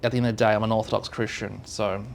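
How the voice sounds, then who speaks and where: A man's voice: fairly low pitch, little pitch movement, rapid-fire, creaky, a little hiss or hum. An Australian man from South Australia hosting a casual podcast interview. He rambles, using lots of fillers and restarting his sentences. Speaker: podcast host.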